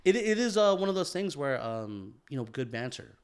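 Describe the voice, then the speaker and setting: A man's voice: a little high, big pitch swings, normal speed, smooth. A man co-hosting a casual podcast, talking in a rambling, self-deprecating way with lots of fillers. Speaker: podcast co-host.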